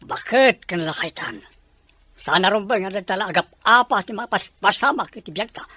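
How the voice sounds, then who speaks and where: Lady playing pushy straight-talking confidant in a soap, normal pitch, no room echo. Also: lively intonation, normal speed, pushed and bright, husky. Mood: insistent.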